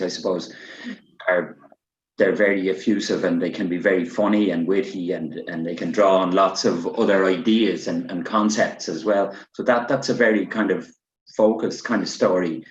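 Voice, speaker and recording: A low-pitched man moderates a literary discussion in a roomy, slightly muffled recording. He feels his way through long, encouraging remarks, often restarting as he goes. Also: steady tone, moderate pace. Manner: rambling, hesitant, encouraging. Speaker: event host. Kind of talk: literary panel moderation, unscripted chat. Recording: roomy, dull lo-fi sound.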